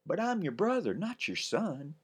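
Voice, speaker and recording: Cheeky ten-year-old boy as a male narrator puts him on, raised pitch, chatty, country drawl. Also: big pitch swings, normal speed, husky. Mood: earnest.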